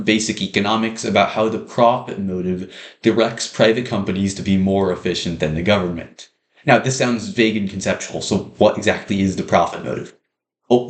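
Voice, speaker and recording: A young man delivering a prepared persuasive speech in an earnest, explanatory manner, like a student speaker. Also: on the low side. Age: young adult. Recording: roomy, echoing sound.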